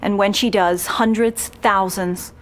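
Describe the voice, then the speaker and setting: A woman playing a serious, dramatic television special reporter in a video game, narrating in a polished, measured and ominous way. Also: mid-range voice, steady tone, lightly acted.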